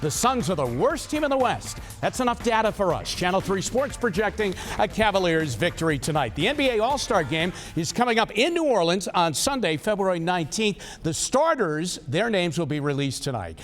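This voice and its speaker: A man's voice: high-pitched, sing-song, brisk, hardly any pauses, a bit bright. An American man anchoring a TV sports report, delivering news and commentary in punchy, emphatic short phrases over music. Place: TV studio.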